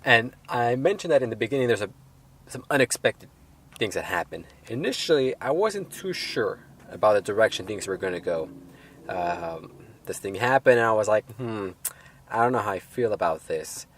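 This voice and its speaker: A man reviewing a film in a casual, unscripted way, halting and rambling as he hedges his points. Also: on the low side, very animated.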